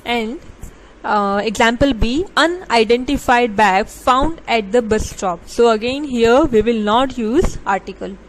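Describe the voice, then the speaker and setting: A woman's voice: on the high side, a little hiss or hum, rough recording. A woman teaching an English grammar lesson to students, reading out rules and examples, then explaining them with small restarts and fillers. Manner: slow, deliberate reading of examples with frequent restarts.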